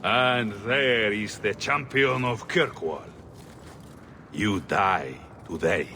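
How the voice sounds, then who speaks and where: A man's voice: fairly low pitch, takes it slowly, bright, forceful tone, slight background noise, heavily acted. A man voicing a menacing, bombastic assassin leader in a fantasy video game, declaiming theatrically. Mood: gloating menace. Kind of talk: video game villain dialogue.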